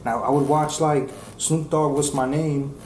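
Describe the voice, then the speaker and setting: A man in his forties from New Jersey, a guest telling stories in a relaxed, slangy way. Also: a little high, measured pace. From New Jersey, USA. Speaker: guest, music engineer. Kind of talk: casual interview. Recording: echoey room with faint noise.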